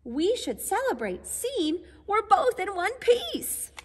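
A woman voicing a male squirrel who hoards food, speaking in high, eager exclamations. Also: very animated, slow, big-room sound, slight background noise, heavily acted. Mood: sheepish, cheerful.

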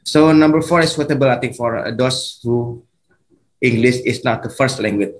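Man, Indonesian accent, native Indonesian speaker, online lecture, hesitant, many fillers, medium pitch. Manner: halting, many fillers and restarts. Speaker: guest lecturer.

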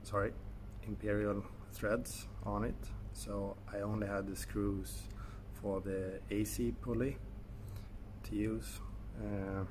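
A man in his thirties with a Swedish accent, talking casually to a phone camera in a garage, with many pauses and fillers. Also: fairly low pitch, level tone, noisy background. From Sweden. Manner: halting, many fillers and self-corrections. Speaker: car hobbyist vlogger. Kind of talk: casual selfie vlog. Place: indoor garage. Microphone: phone held at arm's length.

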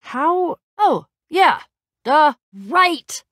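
High-voiced, lively young adventurer. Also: female voice, very slow, no room sound, studio quality, exaggerated voice. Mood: excited. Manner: casual, slangy, eager and awkwardly trying to sound cool. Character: brash young woman adventurer, excitable, sarcastic, starstruck fangirl. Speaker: voice actor in a video game. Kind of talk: animated game dialogue acting.

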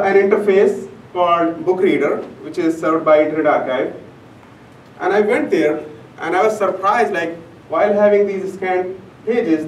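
Young man, native Urdu speaker, high voice. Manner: halting, many fillers and restarts. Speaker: conference presenter. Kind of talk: academic conference talk. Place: conference room, large and indoors. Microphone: room camera mic from far off. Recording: echoey room, distant mic, faint hum.

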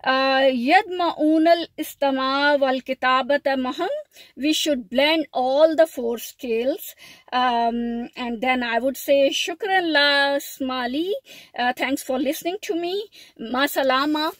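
A woman's voice: sits high, slow, no room sound. A middle-aged woman, a Punjabi speaker, talking hesitantly with many fillers in a casual video diary from inside a car. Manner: hesitant, many fillers, lists word meanings. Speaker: language learner. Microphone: phone held at arm's length.

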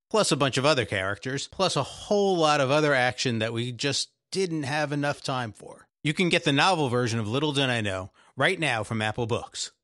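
A man's voice: medium pitch, bright, forceful tone, no room sound, studio quality. A man representing a show, delivering a scripted promotional message straight to listeners.

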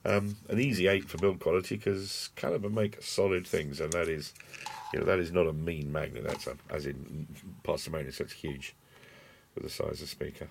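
A middle-aged British man talks casually through a product review with wry, colloquial asides. His voice is very low and noticeably gravelly. It is recorded on a camera at a desk, with faint background noise and small clicks. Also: fairly even intonation, normal speed. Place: small cluttered indoor desk workspace.

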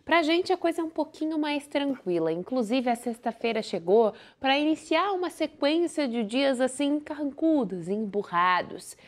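A young woman from Brazil, presenting a TV weather forecast in a lively, playful way from a studio on a lapel mic. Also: high-pitched, normal speed, hardly any pauses. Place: TV studio in front of a video wall.

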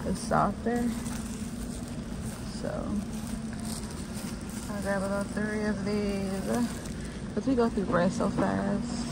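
A woman's voice: medium pitch, steady tone, slow pace, creaky, long pauses. Woman, casual shop-with-me vlogger, chatty and rambling, phone mic in a noisy grocery store. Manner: chatty, thinking aloud, many fillers. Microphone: phone held while shopping. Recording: loud store noise behind. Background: store ambience.

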